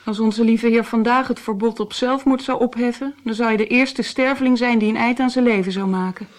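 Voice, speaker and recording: An actress as a worldly woman of intrigue in a radio play. Her voice is on the high side, teasing and sharp-tongued, with a wry challenge to it. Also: fairly even intonation, a little fast, lightly acted. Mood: wry, challenging. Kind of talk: radio drama acting.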